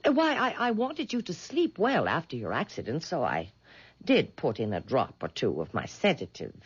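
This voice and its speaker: An actress playing a frail, superstitious old lady in a radio drama, with a hesitant, coaxing manner and a husky, quavering voice. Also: low-pitched, huge pitch swings, normal speed, heavily acted. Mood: flustered, evasive. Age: older. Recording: dull vintage radio recording.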